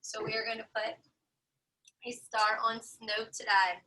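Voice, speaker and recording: A woman with a very bright, crisp-edged voice and a somewhat high pitch leads a virtual class for young children. She speaks at an unhurried overall pace. She explains things in simple, clear steps, as a preschool teacher does. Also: level tone, poor audio. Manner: instructive, addressing a group of small children.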